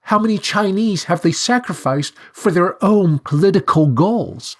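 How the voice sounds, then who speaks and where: A man voicing a sly, coaxing talking bird with a high sing-song voice in a storybook reading. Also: expressive, measured pace, heavily acted.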